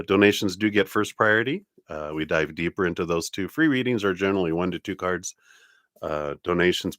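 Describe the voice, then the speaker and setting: A low-pitched man with a congested, nasal sound talks to viewers in a friendly, meandering way, full of ums and uhs. The recording is dry and close, with no room around it. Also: no echo. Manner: gentle, friendly, meandering with many fillers. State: congested, nasal from a stuffy nose. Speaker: tarot reader hosting a live stream. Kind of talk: casual live-stream talk to viewers.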